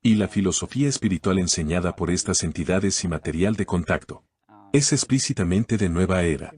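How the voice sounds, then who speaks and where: A man from Canada, a guest in an interview, answering questions in a calm, methodical, explanatory way. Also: sits low, a little fast.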